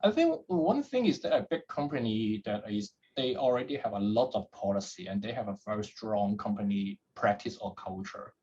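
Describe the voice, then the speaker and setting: Hong Kong-raised male guest, husky and low, halting with repeated words, muffled lo-fi sound. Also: animated, darkish tone. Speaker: guest, head of data at a startup. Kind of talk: podcast interview answers.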